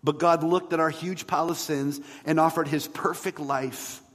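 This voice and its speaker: A man's voice: a little high, fairly even intonation, fairly bright tone. Man preaching in a long, echoing hall. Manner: conversational preaching, rhetorical questions, emphatic pauses. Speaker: pastor preaching a sermon. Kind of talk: sermon.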